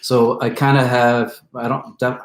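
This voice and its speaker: A man's voice: medium pitch, measured pace, reverberant. Middle-aged man, Chicago-New York accent. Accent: mix of Chicago and New York. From born in Brooklyn, grew up in Chicago. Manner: relaxed, hesitant, many fillers. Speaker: co-host of a live stream. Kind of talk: casual live-stream chat.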